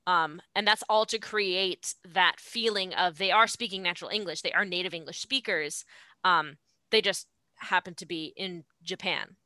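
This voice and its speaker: A woman talks through ideas on a podcast as a guest, pausing with "um"s as she works through her explanation. Her voice is bright and forward. The recording is dry and close, with no room sound. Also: fairly low pitch, no echo, poor audio. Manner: explanatory, thoughtful, many "um"s. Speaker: podcast guest discussing translation. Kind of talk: casual conversation.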